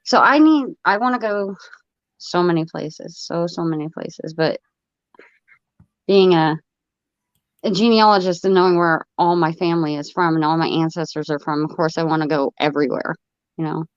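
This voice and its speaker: A woman's voice: low voice, normal speed, no echo. Middle-aged woman, Ohio accent with a trace of Florida, rambling live-stream chat, laughs a lot, dull dry lo-fi mic. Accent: Ohio, with some Florida. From United States. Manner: rambling and chatty, laughing often.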